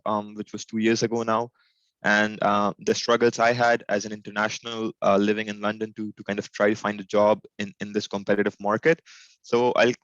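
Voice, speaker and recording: A man's voice: on the low side, a bit bright, dry recording, lo-fi sound. A young man from Pakistan giving an informal, humble webinar presentation over a video call, with lots of fillers and restarts. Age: young adult.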